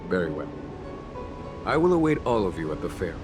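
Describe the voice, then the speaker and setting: An actor playing a calm, authoritative fantasy general in a video game, speaking in a formal, measured manner. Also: male voice, low voice, music in the background. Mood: calm, reassuring. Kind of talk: dramatic game voice acting.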